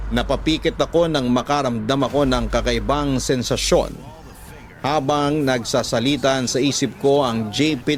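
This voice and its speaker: A man with a Filipino accent reading a first-person story as scripted, confiding radio drama narration. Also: medium pitch. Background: background music.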